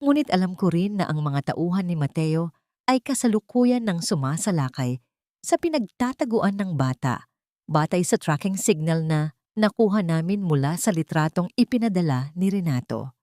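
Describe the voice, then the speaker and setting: Low-pitched woman narrating a dramatic story. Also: a little mellow, no echo, clean studio sound. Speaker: audiobook narrator. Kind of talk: scripted dramatic first-person story narration.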